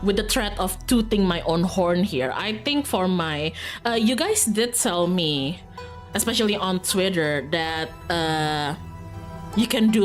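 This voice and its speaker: A woman livestreaming a visual novel, reading the game text aloud and breaking off into playful, self-teasing, casual asides to viewers. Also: on the low side. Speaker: streamer playing a visual novel. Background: faint game music.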